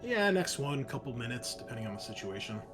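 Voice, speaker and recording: A man's voice: mid-range voice, big pitch swings, fast. A man acting as game master, casually narrating a tabletop game and explaining things in a hedging way. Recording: music playing behind the voice. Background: music.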